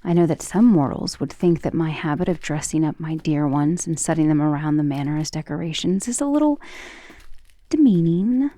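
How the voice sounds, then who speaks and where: A woman voicing an ancient, tender and protective vampire lover in an intimate, breathy romantic ASMR roleplay, with genteel wording and a vulnerable air. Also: low-pitched, dark tone, dry recording. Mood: measured, uneasy. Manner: intimate, breathy half-whispers with sighs.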